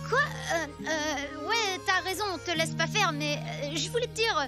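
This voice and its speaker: Anxious cartoon child, extremely high voice, earnest, expressive, music behind. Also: female voice, unhurried. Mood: hesitant. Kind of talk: French cartoon dubbing.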